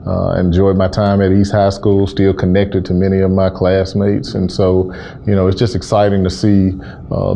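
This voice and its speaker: An American man in his thirties from Memphis, giving measured, earnest interview answers as a city official. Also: sits low, warm tone, lo-fi sound, slight background noise. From Memphis, Tennessee, USA. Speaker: interview guest, city housing and community development director.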